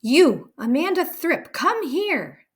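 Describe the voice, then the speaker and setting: Bellowing, shrill tyrant headmistress. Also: female voice, very animated, takes it slowly, heavily acted. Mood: furious, commanding. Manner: shouting, barking insults and orders. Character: huge tyrannical bellowing headmistress, cruel and menacing.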